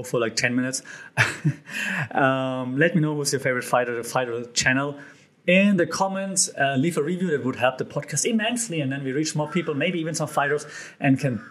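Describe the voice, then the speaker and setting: A man hosting a solo podcast, talking in a casual, chatty way with lots of fillers and restarts, his voice a bit hoarse. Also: medium pitch. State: slightly hoarse. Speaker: podcast host.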